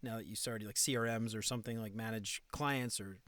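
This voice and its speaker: A man's voice: fairly low pitch, steady tone, fairly quick, a bit bright, no room sound, studio quality. A man hosting a podcast interview, talking in an enthusiastic, conversational way. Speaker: podcast host.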